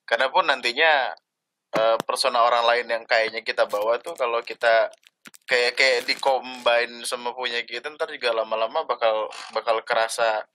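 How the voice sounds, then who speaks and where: Bright-voiced laid-back young man. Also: mid-range voice, fairly even intonation, normal speed, rough recording. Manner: laid-back, jokey, says "santai" a lot. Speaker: podcast host. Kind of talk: casual chat.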